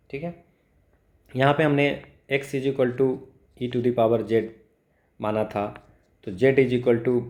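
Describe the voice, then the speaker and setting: Slow-talking Indian male teacher, echoey room. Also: mid-range voice, gravelly, darkish tone. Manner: mixes Hindi and English, frequent check-in tags. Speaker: maths teacher. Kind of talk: informal exam lesson, explaining worked equations step by step. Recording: echoey, roomy sound.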